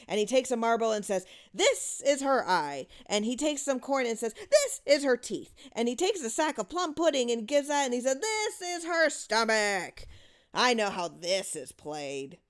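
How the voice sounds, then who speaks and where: A woman voicing an excited, gleeful know-it-all child in a story, with a singsong manner. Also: a little high, smooth, voice pushed up. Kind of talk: dramatic story reading.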